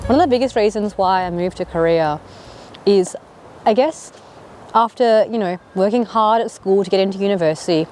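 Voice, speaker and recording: A woman's voice: mid-range voice, moderate pace, a little mellow, soft background music. An Australian woman in her thirties chatting casually to camera in a vlog, rambling with lots of fillers. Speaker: vlogger.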